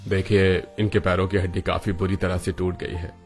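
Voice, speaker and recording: A man playing a serious, matter-of-fact doctor in a cartoon story, speaking in a measured way. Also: sits low, level tone, soft background music. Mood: grave.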